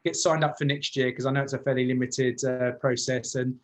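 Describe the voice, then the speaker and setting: Effusive man hosting, mid-pitched voice. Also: level tone, fairly quick. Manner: effusive, informal. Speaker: host of music masterclass. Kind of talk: informal hosting, wrapping up a class.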